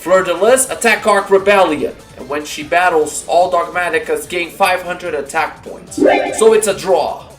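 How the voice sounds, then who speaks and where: A man's voice: high voice, measured pace. A man voicing a determined young card duelist in an anime-style roleplay, declaiming his lines. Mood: commanding. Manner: declamatory, announcing attacks. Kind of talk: animated anime-style battle roleplay acting. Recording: loud background music.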